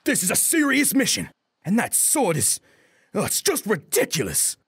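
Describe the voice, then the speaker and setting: A man voicing a gruff, hot-tempered military crew leader in an audiobook, loud and blustering. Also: sits high, huge pitch swings, moderate pace, no room sound, clean studio sound, voice pushed up, exaggerated voice. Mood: scornful. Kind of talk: audiobook character acting.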